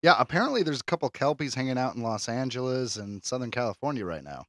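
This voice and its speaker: A male podcast co-host banters and teases his partner in relaxed chat. His bright voice moves freely in pitch, and the recording is dry and close. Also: no room sound. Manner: teasing, quick banter. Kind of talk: casual chat.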